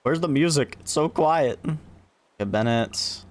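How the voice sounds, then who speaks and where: A man's voice: on the high side, expressive, takes it slowly. A man giving casual livestream commentary on a video game, thinking aloud and questioning himself as he plays.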